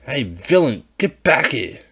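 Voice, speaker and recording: A menacing schoolboy ringleader, acted by a male reader, loud and raised in pitch. He spits threats and orders as the easily rattled bully of a read-aloud story. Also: sing-song, measured pace, breathy edge, full character voice. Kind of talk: amateur fan fiction audiobook character voice.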